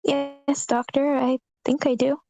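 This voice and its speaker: Woman reading guarded young daughter, high mellow voice. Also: flat delivery, slow, vocal fry, full character voice. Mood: guarded, doubtful. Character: guarded grown daughter and therapy patient, Josie. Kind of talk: screenplay table read.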